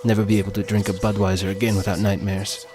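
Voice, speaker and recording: A man's voice: on the low side, flat delivery, quick pace, music in the background, lightly acted. A man voicing a frightened, foul-mouthed young Texan woman in an audio story, stammering and sarcastic as she shivers.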